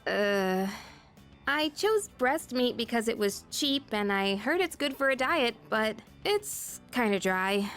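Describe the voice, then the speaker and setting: A woman playing a chatty, self-deprecating high school girl in a video game. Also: expressive, slow pace, a bit bright, faint music behind. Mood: sheepish.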